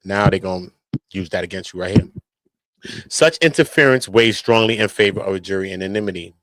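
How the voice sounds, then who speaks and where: A man's voice: sits low, brisk, a bit bright, no echo, low-quality recording. An American man doing casual street-slang commentary on criminal cases, speaking in an emphatic, repetitive, slangy way. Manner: repetitive verbal tags, emphatic slangy delivery. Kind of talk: casual street-slang commentary, reading documents aloud at times.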